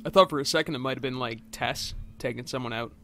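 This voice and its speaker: Bright-voiced man quipping over gameplay. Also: mid-range voice, fast, slight background noise. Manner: wry, quipping. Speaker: let's play co-commentator. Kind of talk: casual joking commentary.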